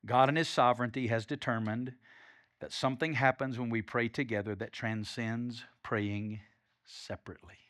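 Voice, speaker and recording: A man's voice: mid-range voice, fairly even intonation, normal speed, fairly bright tone, no echo, clean studio sound. A male pastor from Alabama with a Southern accent, preaching a sermon with emphatic, conversational delivery. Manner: preaching with rising and falling intensity, emphatic, conversational asides.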